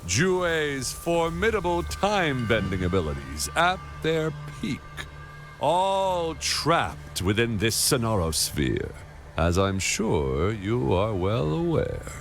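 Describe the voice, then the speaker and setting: A man's voice: sing-song, takes it slowly, faint music behind. An actor playing a scheming, mocking male villain in a game cutscene, with a theatrical, taunting delivery. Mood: gloating. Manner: taunting, theatrical swings in pitch. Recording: game score underneath.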